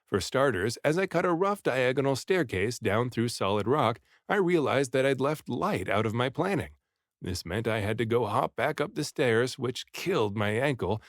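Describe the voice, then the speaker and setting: A man reads an adventure novel aloud as an audiobook narrator, with lively swings in pitch and a dramatic touch. His mid-pitched voice is recorded close and dry. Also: no echo. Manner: dramatic, animated storytelling. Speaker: audiobook reader. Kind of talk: expressive first-person storybook narration.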